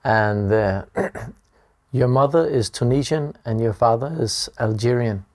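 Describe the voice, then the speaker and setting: Male interviewer, relaxed, fillers, mid-pitched, self-deprecating. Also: slow, no echo, studio quality. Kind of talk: casual interview.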